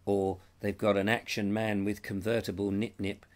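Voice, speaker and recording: A man's voice: lowish voice, little pitch movement, moderate pace. Middle-aged English man with a cold. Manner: rambling, chatty, reads comments aloud. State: has a cold, losing his voice. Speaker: show host. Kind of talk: live-stream chat reading viewer comments. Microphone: lapel mic.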